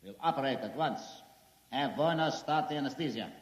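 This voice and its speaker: An actor playing a sinister, pompous European scientist in an imperious, theatrical 1950s radio drama manner. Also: male voice, mid-range voice, expressive, fairly quick, slightly rough, no echo, poor audio. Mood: clinical.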